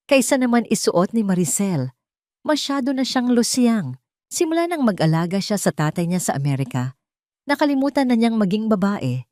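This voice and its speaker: A woman's voice: medium pitch, sing-song, moderate pace, a little mellow, no room sound, clean studio sound, voice pushed up, lightly acted. A woman voicing a cold, contemptuous cheating husband in an audio melodrama, sneering and belittling. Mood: scornful.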